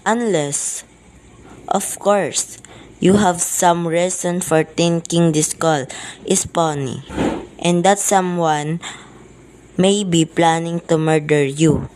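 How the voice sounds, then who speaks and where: A weary police sergeant acted by a student in an amateur radio drama, speaking slowly at a middle pitch. The delivery is placating, patronizing and dismissive. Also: a little mellow, a little hiss or hum, lightly acted, low-quality recording. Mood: matter-of-fact. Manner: deliberate, patronizing.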